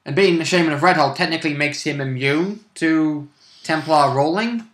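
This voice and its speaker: A man's voice: on the high side, normal speed, a bit bright. A man chatting casually as a player in a tabletop game, rambling into jokey, playful asides. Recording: roomy, echoing room sound.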